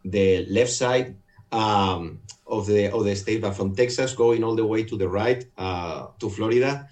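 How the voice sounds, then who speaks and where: A man's voice: fairly low pitch, a little slow, darkish tone. A man from Navarre with a Spanish accent, a guest in an interview, polite and hesitant with many fillers. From Navarre, Spain. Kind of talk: casual interview.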